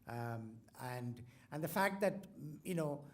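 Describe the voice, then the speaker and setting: A man's voice: fairly high pitch, animated, slow pace. Hesitant Indian man introducing a speaker. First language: Tamil. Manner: hesitant, full of ums and restarts. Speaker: university host introducing a lecturer. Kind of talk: formal speech with many fillers.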